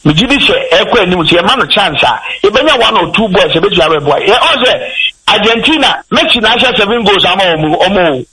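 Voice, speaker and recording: A man with a Ghanaian accent, a football pundit on a sports talk show, talking in an animated, rambling way and switching between English and Twi. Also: high-pitched, fairly quick, slightly rough, rough recording. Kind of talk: radio sports discussion.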